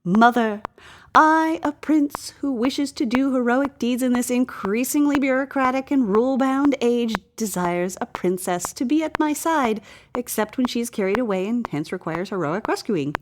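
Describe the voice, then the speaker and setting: A woman's voice: a little high, expressive, moderate pace, pitched above the performer's own voice, natural voice. A woman as earnest young prince, pompous, formal declamation, storybook hero, noticeable background noise. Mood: insistent, grand.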